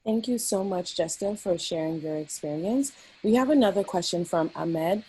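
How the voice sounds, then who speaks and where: A woman's voice: lowish voice, moderate pace, dark tone, husky, low-quality recording. A woman moderating a webinar, politely thanking the guest and reading out audience questions on a video call.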